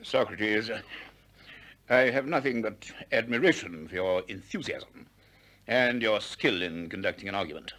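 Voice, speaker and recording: A man's voice: on the low side, a little slow, a bit bright. An actor playing a dignified older male sophist who concedes reluctantly, speaking formally and hesitantly in a 1960s radio drama. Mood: gracious. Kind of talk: 1960s radio drama acting of philosophical dialogue.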